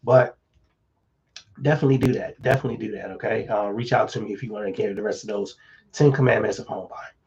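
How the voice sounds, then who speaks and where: A man, slightly hoarse, giving casual real estate advice in a relaxed, conversational way with many fillers. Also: lowish voice, quick pace, lo-fi sound. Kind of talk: casual live-stream advice talk.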